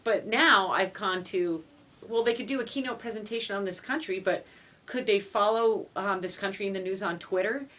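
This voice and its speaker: A woman's voice: medium pitch, moderate pace, fairly bright tone. A woman from Montreal, a native French speaker, answering interview questions as a foreign language teacher, earnest and dotted with "you know.". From Canada (Montreal).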